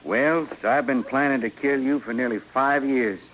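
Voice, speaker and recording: An actor playing a vengeful, coldly sardonic American gunman in an old-time radio western, taunting and deliberate. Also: mid-range voice, a bit bright, close to an everyday voice. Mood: menacing. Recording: dull vintage radio recording, faint noise.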